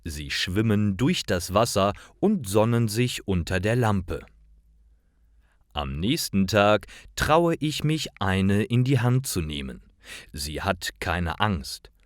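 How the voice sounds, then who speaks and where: A man reading short stories for language learners in a careful, measured, clearly enunciated scripted narration. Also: fairly low pitch, no room sound, studio quality.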